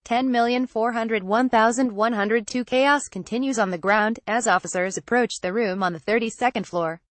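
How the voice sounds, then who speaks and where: A woman's voice: fairly high pitch, normal speed, no echo. A woman reads scripted news aloud as a narrator, in a synthetic, literal manner. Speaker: news reader.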